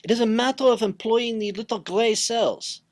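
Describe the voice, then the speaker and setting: An actor playing a fussy, formal and self-important Belgian detective with a French-speaking accent, in an old radio drama style. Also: male voice, very high-pitched, normal speed. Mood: courteous, precise. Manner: formal, precise, courteous, pompous. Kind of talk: 1940s radio drama acting.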